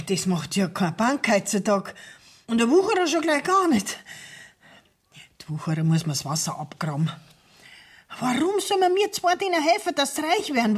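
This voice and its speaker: An actress playing a talkative, aggrieved middle-aged sawmill widow in a radio play, speaking Bavarian dialect in a confiding, folksy way. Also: female voice, high-pitched, breathy edge, exaggerated voice. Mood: indignant, aggrieved.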